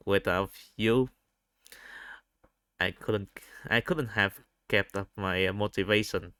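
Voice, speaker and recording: A man with a Vietnamese accent recording a spontaneous, unscripted audio log, speaking haltingly and often rephrasing himself. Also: lowish voice, fairly bright tone, clean tone, no room sound, clean studio sound. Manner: halting, repeats and rephrases phrases.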